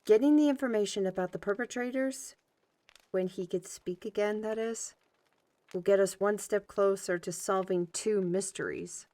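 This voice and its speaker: A woman playing a spirited, good-humored artist and adventurer in a fantasy audio drama, with a playful, expressive delivery. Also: mid-range voice, moderate pace, no echo, lightly acted. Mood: serious, resolute. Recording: music under the voice.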